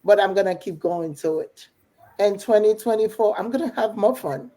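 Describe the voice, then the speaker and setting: A woman's voice: mid-range voice, normal speed, mellow. A middle-aged woman from Haiti with a Haitian accent, a coach chatting casually and encouragingly. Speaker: business coach and mentor. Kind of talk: casual motivational chat.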